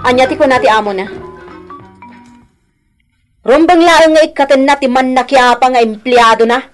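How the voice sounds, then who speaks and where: A woman playing a bossy, quick-tempered grocery owner, scolding and nagging with an Ilocano accent in a radio drama. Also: sits high, soft background music, close to an everyday voice. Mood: indignant complaining.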